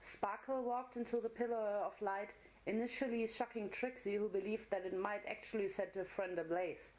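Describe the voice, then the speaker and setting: A woman's voice: medium pitch, steady tone, moderate pace, darkish tone, rough recording. A woman narrator giving a dramatic reading of a fantasy story.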